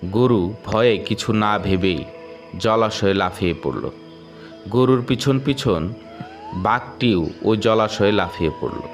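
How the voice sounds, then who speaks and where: A man narrating a children's moral story in a measured, moralizing way. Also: fairly low pitch. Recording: music under narration.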